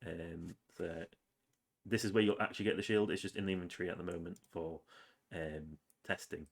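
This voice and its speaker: A man's voice: deep voice, brisk. A man with a cold, casually narrating his game's progress over gameplay with plenty of ums and uhs. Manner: relaxed, rambling with many ums and uhs. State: has a cold, sniffly. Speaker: game developer.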